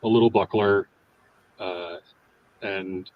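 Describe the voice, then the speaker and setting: A man's voice: deep voice, steady tone, slow pace, a little hiss or hum. A man playing a tabletop game, casually describing what his character does, on slightly muffled audio.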